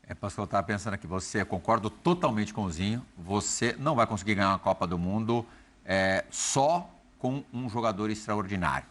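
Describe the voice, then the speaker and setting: A man from Brazil hosting a TV football debate, talking briskly and conversationally while putting questions to the panel. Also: lowish voice, fairly bright tone, slight background noise. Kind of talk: TV sports debate.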